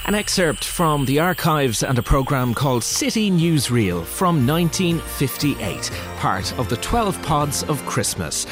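A man's voice: on the high side, very animated, a little slow, hardly any pauses. An Irish man presenting a festive podcast in a scripted style, with music behind him. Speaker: podcast host.

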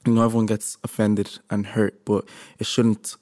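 A man's voice: fairly low pitch, monotone, moderate pace, mellow. A man introducing the song he is about to perform. He speaks in a laid-back, casual way. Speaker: musician guest.

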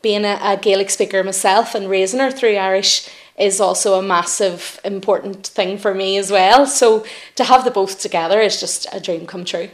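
A woman with a Belfast accent talks as a parent in a news interview. She is earnest and happy, at a middle pitch. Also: steady tone, moderate pace. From West Belfast.

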